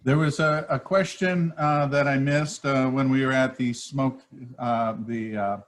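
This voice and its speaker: Halting man asking about cheese. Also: mid-range voice, fairly even intonation. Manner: halting, many uh fillers. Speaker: co-host asking questions. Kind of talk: casual chat. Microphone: video call mic.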